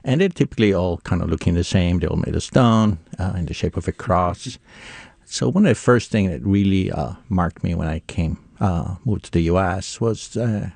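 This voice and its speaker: Man, Italian accent, deep, gravelly, dry, thoughtful. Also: a little mellow, no echo. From Italy. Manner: thoughtful, halting with fillers. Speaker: photographer guest. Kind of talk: radio interview.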